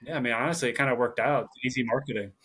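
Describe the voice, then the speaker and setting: A young male co-host who talks very fast in a mid-range voice. He jokes and teases his friends, with casual, quick questions. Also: mid-range voice, a bit bright, slightly rough. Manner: quick, teasing banter. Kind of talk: casual interview chat.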